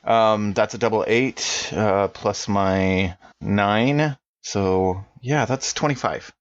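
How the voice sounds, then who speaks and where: A man with a low voice takes part as a player in a tabletop game, casually describing his moves. The recording is dry and a little dull, with softened detail. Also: takes it slowly, no room sound. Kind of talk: casual tabletop role-play chat.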